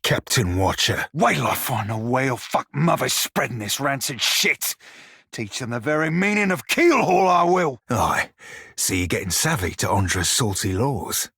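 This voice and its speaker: Husky rogue for a video game, sardonic sailor drawl, rough edges, real dry close recording. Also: male voice, mid-range voice, big pitch swings, measured pace, fairly bright tone, no echo, heavily acted. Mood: bitter, gruff. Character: roguish blue shark-kin pirate, salty, sardonic, foul-mouthed. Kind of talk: video game character dialogue.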